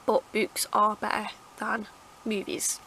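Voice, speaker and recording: A woman with a croaky, hoarse throat, rambling chattily as she reviews her favourite books to camera. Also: medium pitch, level tone, slow pace, bright, forceful tone, slight background noise. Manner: rambling, chatty, lots of fillers and restarts. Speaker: book vlogger. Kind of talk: casual book review chat. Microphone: camera mic.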